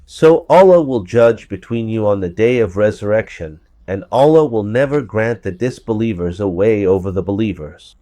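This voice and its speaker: Hoarse man explaining scripture, dark voice. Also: on the low side, expressive, moderate pace, few pauses, no echo. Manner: explanatory, repeats points for emphasis. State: hoarse from a cold. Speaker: religious commentator. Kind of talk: verse-by-verse scripture commentary.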